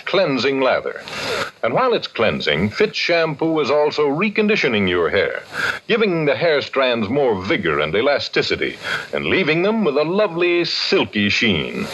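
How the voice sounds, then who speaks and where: Husky, animated vintage American radio announcer. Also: male voice, mid-range voice. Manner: polished, emphatic sales delivery. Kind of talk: 1940s radio commercial announcing. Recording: muffled 1940s radio recording.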